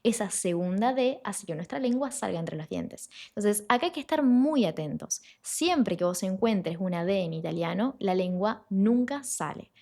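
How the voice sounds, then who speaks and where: A woman teaches pronunciation in an online lesson. Her voice sits at an ordinary middle pitch. She explains clearly and patiently, and slows down deliberately whenever she models a sound. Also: a little mellow. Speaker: Italian language teacher.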